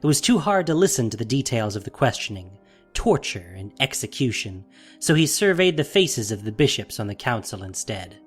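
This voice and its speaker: A man's voice: mid-range voice, sing-song, normal speed, breathy edge, soft background music. A man narrating a fantasy audio drama in a dramatic, scripted storytelling style.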